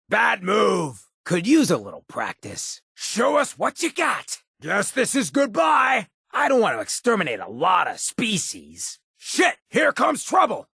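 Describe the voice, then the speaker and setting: An actor playing a roguish, cynical charmer of a town mayor in a video game, with a raspy, gravelly voice and a cocky, slangy drawl. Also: male voice, sits high, pushed and bright, dry recording, clean studio sound, exaggerated voice. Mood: combative, taunting. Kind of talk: video game character dialogue.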